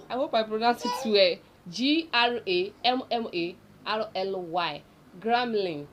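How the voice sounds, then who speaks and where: A woman's voice: medium pitch, a little hiss or hum. A woman with a Nigerian accent hosting a casual, unscripted tutorial, repeating points for emphasis and speaking directly to viewers. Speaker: video tutorial host. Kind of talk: casual unscripted explainer listicle.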